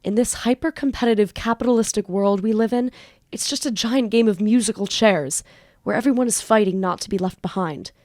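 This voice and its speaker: A woman's voice: medium pitch, brisk, a little mellow, no echo, studio quality, natural voice. A woman acting an anxious, defensive young student magician in an amateur audio drama, earnest and self-pitying. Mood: cynical.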